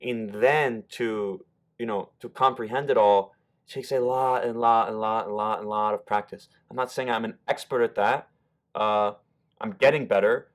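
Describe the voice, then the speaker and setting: American male YouTuber, slightly low voice, casual rambling explainer. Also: fairly even intonation, normal speed. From United States. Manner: loose and rambling, restarting sentences. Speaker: YouTuber explaining German rap lyrics.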